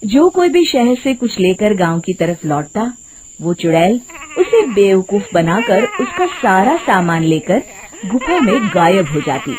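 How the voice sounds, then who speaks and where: A woman's voice: mid-range voice, a little mellow. A woman narrating a scripted moral tale in a dramatic storytelling style.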